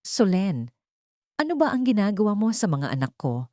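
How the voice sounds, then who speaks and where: A woman's voice: medium pitch, very animated, moderate pace, warm tone, no echo, studio quality, voice pushed up, lightly acted. Woman acting a worried, angry father. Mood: worried anger. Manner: emotional, demanding. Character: worried, angry father confronting his household helper.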